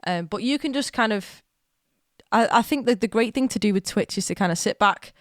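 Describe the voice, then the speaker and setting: Young woman, chatty streamer with a mild cold, mid-pitched, dry close sound. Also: brisk, dry recording. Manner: chatty, rambling, excitable, with many restarts. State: has a cold, slight cough. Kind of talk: casual live-stream chat. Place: at home.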